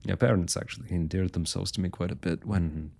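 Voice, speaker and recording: A man's voice: sits low, fairly even intonation, brisk, gravelly, a little mellow, breathy edge, heavily acted. A man playing a young royal blacksmith in an intimate fantasy roleplay, speaking to the listener in courtly, hesitant, old-fashioned phrasing. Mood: wry, reminiscing. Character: young male royal blacksmith, smitten, forthright, proud working man.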